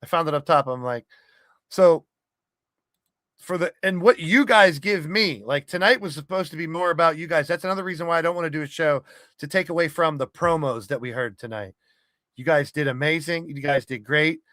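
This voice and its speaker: Male podcast host, higher-pitched bright forceful voice, blunt, sweary, rambling, casual monologue, dry close sound. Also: brisk, dry recording. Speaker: podcast host and wrestling game streamer. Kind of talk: casual monologue to an online community.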